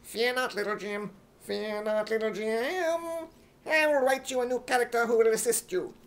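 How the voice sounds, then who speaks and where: A man's voice: very high-pitched, moderate pace. A man voicing a pompous, eccentric author in an imitation British accent for radio comedy. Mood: pompous, gloating.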